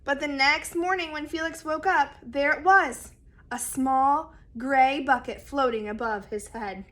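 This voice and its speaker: Woman, high-pitched, bright, expressive children's read-aloud, deliberate, addressing young listeners. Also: a little hiss or hum. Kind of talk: children's picture book read-aloud with asides.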